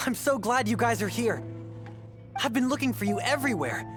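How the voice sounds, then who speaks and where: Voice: mid-range voice, lively intonation, brisk, over music, close to an everyday voice. An actor voicing an eager, earnest young aspiring knight in a video game. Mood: grateful, eager. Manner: earnest, enthusiastic. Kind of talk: English video game voice acting.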